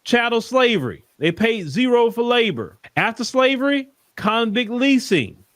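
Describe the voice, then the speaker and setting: A man's voice: very high voice, sing-song, measured pace, fairly bright tone, dry recording, studio quality. A man giving explanatory live commentary in short, emphatic phrases.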